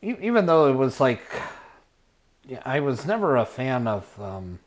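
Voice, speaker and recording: A man with an average-pitched voice tells a personal story in a casual, meandering way. He takes his time, halting to find words and letting sentences drift off unfinished. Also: sing-song. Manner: halting, searching for words, trailing off. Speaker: storyteller, show host. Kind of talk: casual rambling personal anecdote.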